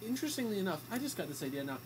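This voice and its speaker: A man's voice: high-pitched, very animated, rapid-fire, a little hiss or hum, rough recording. A man co-hosting an amateur radio show in a casual, bantering style.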